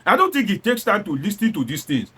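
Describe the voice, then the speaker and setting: Animated, high-pitched Nigerian man commentating. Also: fast talker, breathy edge. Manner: theatrical, exclamatory, full of rhetorical questions and repetition; slips between standard English and Nigerian Pidgin. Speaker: online political commentator. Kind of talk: informal satirical political commentary to camera.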